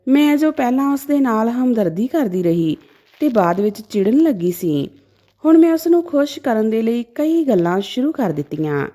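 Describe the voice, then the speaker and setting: A woman narrating a dramatic first-person story in an expressive, emotive way. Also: fairly high pitch, normal speed.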